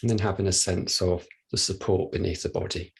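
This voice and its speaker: A man leading a guided body scan meditation, speaking gently and without hurry, leaving long pauses. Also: low-pitched, flat delivery, gravelly, husky. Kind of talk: guided meditation, soft instruction.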